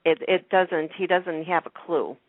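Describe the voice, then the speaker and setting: A woman's voice: lowish voice, a little slow, no echo. A woman in casual conversation as the host of a radio talk show. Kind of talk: casual chat about a TV soap. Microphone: phone line. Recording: tinny phone-line sound.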